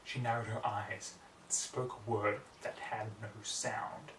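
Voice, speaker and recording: A man's voice: fairly low pitch, level tone, unhurried, a bit bright. A young man reading a story aloud as a dramatic, earnest narration, recorded in a small home room on a distant camera mic. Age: young adult. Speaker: story reader. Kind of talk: dramatic fan fiction narration. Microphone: distant camera microphone. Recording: roomy, distant mic, background noise.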